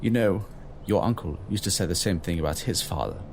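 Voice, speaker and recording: Man acting a very deep, solemn fleet captain. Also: a little hiss or hum. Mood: grave, encouraging. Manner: formal, measured, solemn. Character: grave, kindly male fleet captain, formal officer. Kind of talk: audio drama voice acting.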